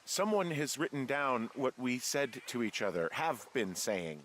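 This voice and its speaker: A man's voice: mid-range voice, lively intonation, measured pace, fairly bright tone, a little hiss or hum. A man with an American accent playing a sardonic, reluctant fire lookout in a video game, in a dry, understated everyday voice. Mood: uneasy. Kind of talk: naturalistic video game dialogue.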